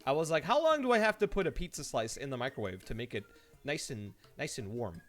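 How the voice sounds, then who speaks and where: A man streaming live, chatting casually to viewers and telling stories in an animated way, acting out his reactions. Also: medium pitch, fairly quick. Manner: animated storytelling, mimics his own reactions; laughs easily while talking. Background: background music.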